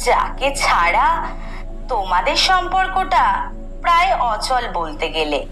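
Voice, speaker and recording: A woman plays Friendship itself in an audio drama, a firm, wise peacemaker. The voice is high and bright with roomy echo, teaching in emphatic, measured phrases. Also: sing-song, full character voice. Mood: earnest lecturing. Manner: emphatic, didactic, deliberate phrasing. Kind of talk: scripted audio story monologue. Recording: roomy echo, music bed underneath.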